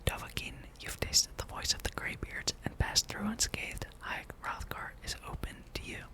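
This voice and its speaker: A game streamer voicing a solemn old monk elder from a fantasy game in a breathy, ceremonial whisper. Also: normal speed, natural voice. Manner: formal, ceremonial.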